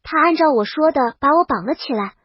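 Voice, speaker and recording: A woman's voice: sits high, expressive, a little fast, dry recording, muffled recording. A woman reading a scripted first-person comic story as its brash, exasperated heroine. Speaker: audio story narrator.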